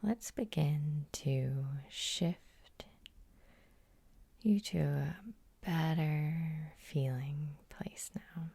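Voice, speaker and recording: A woman hypnotherapist guiding an ASMR sleep hypnosis in a soft, soothing half-whisper with slow, lingering phrases. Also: deep voice, gravelly, slightly rough, no echo. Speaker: hypnotherapist and host.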